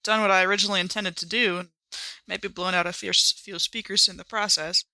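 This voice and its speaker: A woman's voice: medium pitch, steady tone, a little fast, pushed and bright, dry recording, poor audio. A woman playing a tabletop role-playing game in casual improvised talk. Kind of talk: casual improvised play chat.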